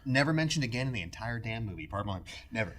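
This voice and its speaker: A man's voice: medium pitch, expressive, rapid-fire, a crowd in the background. A man co-hosting a podcast in casual chat, giving opinionated commentary with an exasperated, sarcastic edge. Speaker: podcast co-host.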